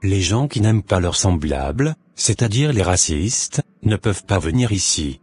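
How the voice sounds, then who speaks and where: A man voices a solemn messenger from heaven in a cartoon. He preaches sternly and declaims in a very low, raspy-edged voice, with faint music behind. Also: big pitch swings, moderate pace, a little mellow, exaggerated voice.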